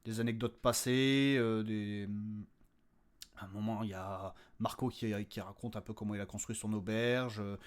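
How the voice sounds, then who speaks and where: A man's voice: on the low side, fairly even intonation, moderate pace, clean tone. A man talking informally and unscripted as a podcast host, hesitant and jokey, often repeating words as he searches for them. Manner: jokey, crude slang. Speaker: creator and host of a fiction audio podcast. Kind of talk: informal unscripted update to listeners.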